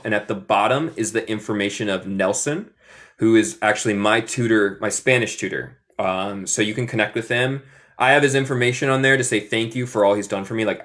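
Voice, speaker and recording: American man teaching in halting Spanish. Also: fairly low pitch, fairly bright tone. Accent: American English. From Michigan, USA. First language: English. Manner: halting learner's Spanish with fillers and English switches. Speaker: English teacher. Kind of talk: casual livestream lesson.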